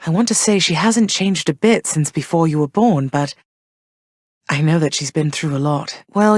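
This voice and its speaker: A woman voicing a gentle, thoughtful goddess queen and mother for a video game, speaking in a soft, measured, slightly formal way. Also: low voice, no room sound, clean studio sound. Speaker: voice actress for a video game character. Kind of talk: recorded game voice lines.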